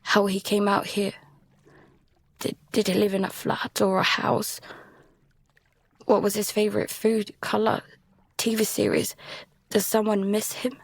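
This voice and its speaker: A woman acting as a frightened young survivor telling an audio drama in the first person. The voice is breathy and husky, with a slow, halting delivery that sounds worn out. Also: medium pitch, completely flat delivery, dry recording. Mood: hushed, drained dread. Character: frightened exhausted young survivor adrift at sea.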